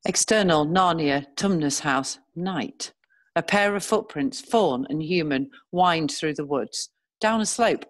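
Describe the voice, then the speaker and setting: Low-pitched woman narrator, dry close sound. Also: dry recording. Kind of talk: scripted narration of stage directions.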